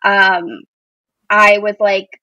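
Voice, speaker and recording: A woman's voice: medium pitch, little pitch movement, drawn-out words, pushed and bright, no echo, low-quality recording. An American woman in her thirties, a podcast guest telling personal stories in an enthusiastic, informal way. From Boston area, United States. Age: 30s. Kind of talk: casual personal storytelling.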